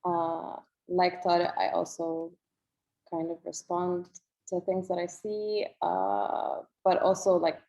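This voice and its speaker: A woman's voice: fairly low pitch, creaky, mellow. A woman speaking casually as a poet on a video-call panel, reflective and a little hesitant. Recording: slightly dull video call audio.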